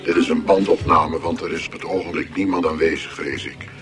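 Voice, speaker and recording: Very deep, courteous recorded announcer. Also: male voice, fairly even intonation, fairly quick. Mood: unctuous politeness. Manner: formal, courteous corporate politeness. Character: polite recorded male announcer of an ancient planet, smoothly menacing. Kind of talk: radio play acting. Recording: loud music behind the voice.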